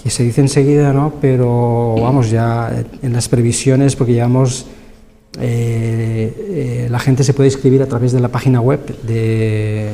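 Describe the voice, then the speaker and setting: A man's voice: mid-range voice, little pitch movement, unhurried, mellow. Muffled-toned Spanish man in a reverberant hall. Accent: Spain. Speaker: university event coordinator, interviewee. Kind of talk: conversational interview answers. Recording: reverberant hall, clear background noise.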